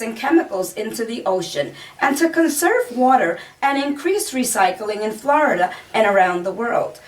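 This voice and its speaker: A woman reading a children's story aloud in a deliberate storytelling manner, pausing to point out pictures. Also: fairly high pitch. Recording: distant mic, faint background noise.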